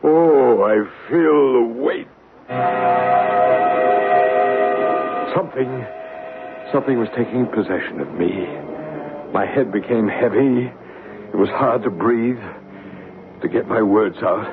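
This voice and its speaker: A man playing a gentle, assured male psychic medium in a 1970s radio drama, explaining patiently. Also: medium pitch, lively intonation, a little slow. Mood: narrating. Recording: narrow radio broadcast with faint hiss.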